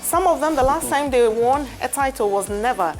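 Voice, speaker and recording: A woman sports presenter delivering a live news segment with studio chat, pausing often as she goes. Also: sits high, soft background music. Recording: steady background noise.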